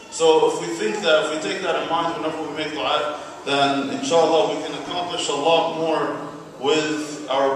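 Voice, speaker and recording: A man giving an earnest, measured personal speech at a public event. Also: a little high, a bit bright. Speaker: speaker at an awareness event. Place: large hall at an Islamic center. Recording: very echoey hall from far off, faint noise.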